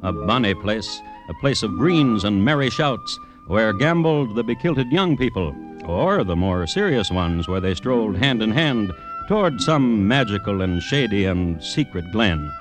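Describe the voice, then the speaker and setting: Low, dramatic male radio storyteller. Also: very animated, music in the background, poor audio. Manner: dramatic, deliberate, wry. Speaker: host and narrator. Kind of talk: 1950s radio crime storytelling.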